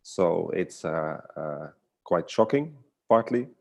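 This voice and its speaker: Very deep-voiced man, thoughtful and hesitant. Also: expressive, slow pace, creaky. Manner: hesitant, searching for words. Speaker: guest artist and storyteller. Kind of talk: casual reflective online conversation.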